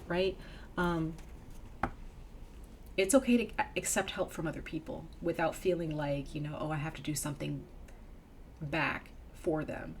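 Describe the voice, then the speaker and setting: A woman's voice: deep voice, a little hiss or hum. A woman giving a casual tarot reading to camera, a bit nasal and sniffly from a cold, chatty with many ums and you knows. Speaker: tarot reader.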